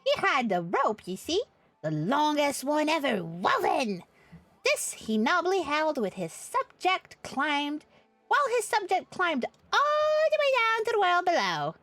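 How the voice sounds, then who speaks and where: A woman's voice: sits high, huge pitch swings, a little slow, fairly bright tone, dry recording, soft background music, pitched above the performer's own voice, exaggerated voice. A woman voicing a small, earnest moogle creature from a fantasy game, theatrical and reverent as it tells its story. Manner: theatrical, gushing, exclaims a verbal tic. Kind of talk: character voice reading game dialogue.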